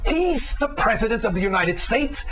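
Actor as an outraged old man, high-pitched rant. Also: very animated, heavily acted. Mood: fired up. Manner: emphatic, exasperated, forceful delivery with drawn-out phrases. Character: outraged, blunt old businessman of eighty-two ranting patriotically. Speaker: performer of a dramatic reading. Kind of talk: dramatic monologue, political rant.

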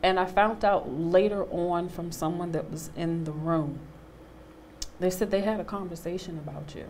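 A woman in her thirties on a studio panel, telling a personal story with animated quoted voices. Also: fairly low pitch, moderate pace, a little hiss or hum. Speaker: panel guest, actor. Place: studio stage with a small audience.

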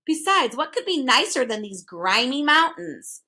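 A woman's voice: high-pitched, very animated, measured pace, pushed and bright, pitched above the performer's own voice, heavily acted. A woman voicing a filthy, malicious, haughty male bird who cackles and shrieks in a storybook. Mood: wistful. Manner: cackling, shrieking, twisting others' words.

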